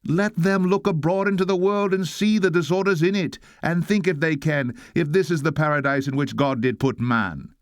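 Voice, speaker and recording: A man's voice: fairly high pitch, normal speed, hardly any pauses, no echo, studio quality. A man reading an old sermon aloud in a solemn, oratorical style, exhorting listeners with weighty rhetorical delivery. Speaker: reader of a historic sermon.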